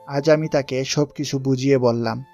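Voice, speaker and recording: A man's voice: medium pitch, steady tone, normal speed, dark tone, faint music behind, natural voice. A man playing an aggrieved teenage boy in an audio story, earnestly and bitterly complaining of a friend's betrayal. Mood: hurt, bitter. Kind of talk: Bengali audio story acting.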